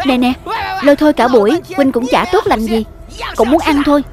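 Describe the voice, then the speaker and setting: A woman's voice: high voice, brisk, close to an everyday voice. A woman voicing a greedy, cheeky magical treasure companion in a cartoon dub, pushy and grumbling. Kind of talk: scripted voice-over dub reading.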